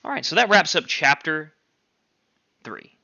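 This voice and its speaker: A male teacher reading a novel aloud to his class with expressive, clear phrasing. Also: fairly high pitch, a little slow, no room sound, lo-fi sound.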